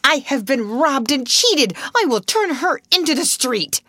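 A woman's voice: sits high, big pitch swings, measured pace, bright, forceful tone, dry recording, pitched above the performer's own voice, heavily acted. A woman reader voicing a furious, hard-hearted older headmistress who speaks fiercely and bitterly. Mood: outraged. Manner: fierce, cold and biting.

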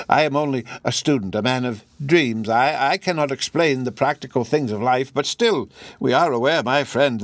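A man's voice: medium pitch, dry recording, close to an everyday voice. A man voicing an elderly, scholarly professor in an audiobook, courteous and philosophical, speaking hesitantly with small stammers. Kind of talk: audiobook character voice.

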